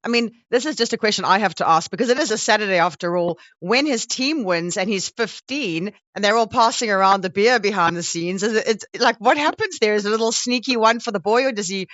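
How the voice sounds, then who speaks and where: Female interviewer, mid-pitched voice. Chatty and playful, on a dry, slightly muffled lo-fi recording. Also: brisk, hardly any pauses, fairly bright tone, no echo. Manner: informal, playful. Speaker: interviewer, host. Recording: dry, slightly dull lo-fi sound.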